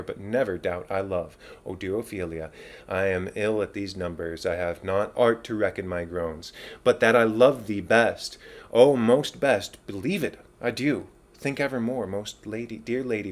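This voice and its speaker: A man giving a theatrical, declamatory dramatic reading of a classic verse play. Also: on the low side. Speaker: audiobook reader.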